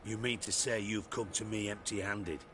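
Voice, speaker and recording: An actor playing a proud, menacing spymaster in a video game, with a wordy, theatrical, sarcastic manner. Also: male voice, on the low side, fairly even intonation, normal speed, noisy background, natural voice. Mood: indignant. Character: sardonic, menacing male spymaster, cultured and proud.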